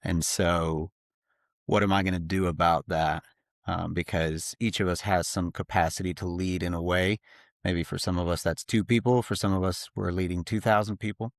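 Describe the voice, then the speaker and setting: Man in his thirties, very low voice, dry close sound, earnest, uses lots of ums. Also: fairly even intonation, normal speed, no echo. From grew up in Slovakia. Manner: reflective, earnest, many fillers. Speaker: podcast guest. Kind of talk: casual interview talk. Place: home office.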